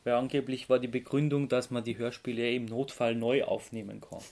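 A man with an Austrian accent co-hosting a podcast, explaining things casually in a somewhat halting way. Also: mid-range voice, little pitch movement, vocal fry, a little mellow. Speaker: podcast co-host.

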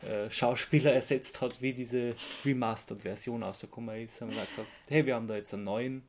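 Austrian man, creaky voice, casual podcast host. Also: medium pitch, steady tone, a little fast, slight background noise. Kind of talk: casual podcast chat, explaining.

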